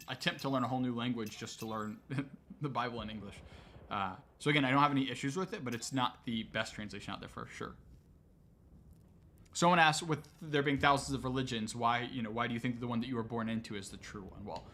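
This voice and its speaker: Casual male streamer answering questions. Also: mid-range voice. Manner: relaxed, conversational, explains at length with many "um"s. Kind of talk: casual livestream Q&A.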